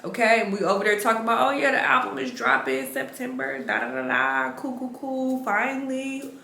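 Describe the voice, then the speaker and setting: Woman reaction host; sniffly, blunt and chatty, bright forceful tone, roomy echo. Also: high-pitched, brisk, creaky, a little hiss or hum. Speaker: reaction vlogger. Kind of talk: casual reaction commentary. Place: rented home full of plants.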